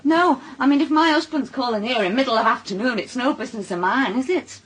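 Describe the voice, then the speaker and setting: An actress playing a shrewd, suspicious wife with a Northern English accent and a dry, bitter wit in a naturalistic radio drama, speaking in a pointed, probing way. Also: female voice, high voice, fast, slightly rough. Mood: cold, accusing.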